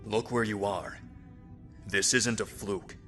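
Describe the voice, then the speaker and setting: A steady male officer acted by a man with a lowish voice. He is calm and reassuring, with music clearly behind him. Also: slow. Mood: firm encouragement. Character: steady, supportive male senior military officer. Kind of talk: animated sci-fi parody voice acting.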